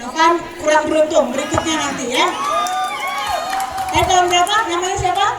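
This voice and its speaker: A woman's voice: very high voice, a little fast. A woman around thirty giving a chatty, teasing speech to students at a school visit. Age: about 30. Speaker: guest speaker visiting a school. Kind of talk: lively speech to students, joking and quizzing. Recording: echoey hall with crowd noise.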